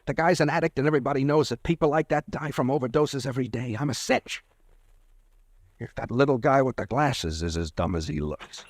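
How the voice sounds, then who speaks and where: A man's voice: medium pitch, no echo, close to an everyday voice. A man playing a nervous young pharmacist caught up in a scheme, in a 1940s radio drama. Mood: anxious. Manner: tense, jittery, fast when scheming.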